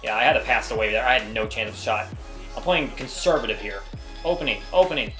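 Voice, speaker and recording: A man's voice: medium pitch, quick pace, pushed and bright, faint music behind. A man giving casual gameplay commentary, talking to himself in exasperation and often repeating phrases. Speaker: gaming video creator.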